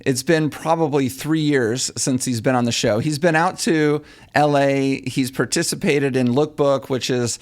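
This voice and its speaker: A man's voice: mid-range voice, steady tone, measured pace, few pauses. A man from Texas hosting a podcast, thoughtful and folksy, often repeating words as he starts a sentence. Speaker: podcast host. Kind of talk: casual interview chat.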